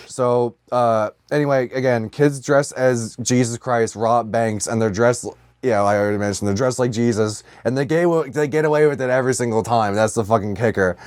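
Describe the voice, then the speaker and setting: A man co-hosting a casual comedy podcast, joking irreverently in halting, sweary sentences. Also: mid-range voice, a little mellow, clear, resonant tone, dry recording, studio quality. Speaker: podcast co-host.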